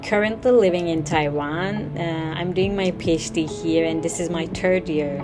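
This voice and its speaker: A woman's voice: lowish voice, moderate pace, over music. A woman from Turkey, a PhD student, talking eagerly about her life abroad with many fillers. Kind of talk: casual testimonial.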